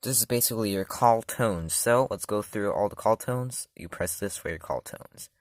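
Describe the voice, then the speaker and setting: Low-voiced man demoing a gadget. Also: moderate pace, no echo, clean studio sound. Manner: casual, loose, many fillers and self-corrections. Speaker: product reviewer. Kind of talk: casual hands-on demo.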